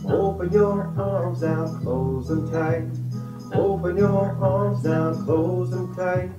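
A woman's voice: fairly low pitch, takes it slowly. A woman singing a children's action rhyme in a repetitive sing-song. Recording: echoey, with loud plucked guitar music. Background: plucked guitar accompaniment.

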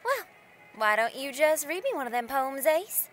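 An actress playing a sassy, forward young 1940s dame, brash and playful, in a period audio drama. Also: female voice, high-pitched, big pitch swings, moderate pace, fairly bright tone, faint music behind. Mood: teasing.

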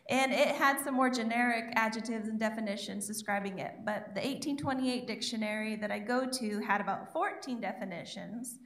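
A woman's voice: a little high, normal speed, hardly any pauses, a bit bright. A young woman giving a sermon from her notes on a church stage, chatty and self-deprecating, speaking into a handheld mic. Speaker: guest preacher. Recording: echoey church room.

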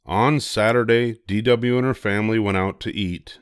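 An older man reads a children's book aloud. His voice is on the low side and expressive, captured with very little room sound. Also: normal speed, smooth, no room sound, studio quality. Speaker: storybook reader.

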